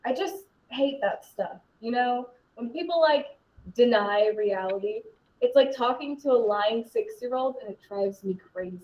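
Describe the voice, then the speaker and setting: A woman's voice: fairly high pitch, lively intonation, unhurried, a little mellow. A young woman playing a blunt, indignant teenage girl in a live scene, sarcastic and confrontational in her slangy delivery. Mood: exasperated. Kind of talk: live duo scene performance. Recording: roomy, distant, dull microphone.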